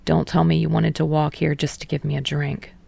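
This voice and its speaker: A woman's voice: low-pitched, flat delivery, brisk, creaky, dark tone, dry recording, voice pushed down, exaggerated voice. A woman voicing a reserved, prickly young man in an audiobook, understated and dryly amused. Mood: curious. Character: dry, reserved, prickly young male bookshop worker. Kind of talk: audiobook character voice.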